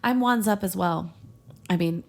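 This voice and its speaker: A woman's voice: on the low side, moderate pace, a little mellow. A woman co-hosting a comedy podcast, moving between casual banter with friends and reading a story aloud. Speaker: podcast co-host.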